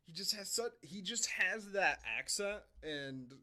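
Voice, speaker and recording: A man's voice: high-pitched, animated, unhurried, pushed and bright. A man hosting a podcast, chatting casually about movies with sarcastic, exasperated asides. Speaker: podcast host. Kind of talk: casual movie review banter.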